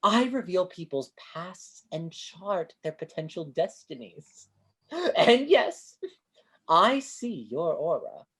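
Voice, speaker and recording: A woman's voice: fairly low pitch, very animated, slow pace, husky, full character voice. A woman playing a grandiose, kindly street fortune-teller with florid delivery in a staged play reading over a video call. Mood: mystical, boastful. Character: Great Banzini, grandiose theatrical male street fortune-teller, kindly mystic.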